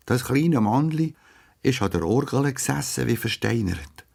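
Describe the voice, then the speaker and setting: A man's voice: medium pitch, animated, unhurried, creaky, darkish tone. A man with a Swiss German accent narrating a scripted story for children.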